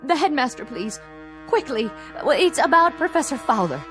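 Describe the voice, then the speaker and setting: An actress playing a kindly, anxious housekeeper in a radio drama, tender and deferential in manner. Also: female voice, high-pitched, very animated, moderate pace, over loud music. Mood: alarmed. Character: devoted, kindly, anxious woman housekeeper to a professor.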